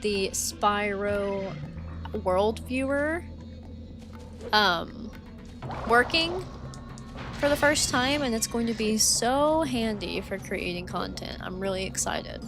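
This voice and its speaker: A woman's voice: mid-range voice, slow, long pauses. Chatty woman streamer, voice wearing dry. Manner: chatty, rambling, frequent "like" and "um". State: voice getting dry and worn from long talking. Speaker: game streamer. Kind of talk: casual livestream chat while playing. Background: game music.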